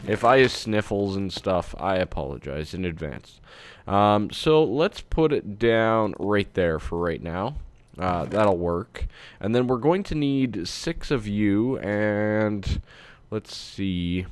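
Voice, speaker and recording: A man's voice: low voice, a little hiss or hum. A man with a stuffed-up, congested voice, chatting casually while he plays a game and thinks aloud as host. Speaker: let's-play host. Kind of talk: casual gameplay commentary.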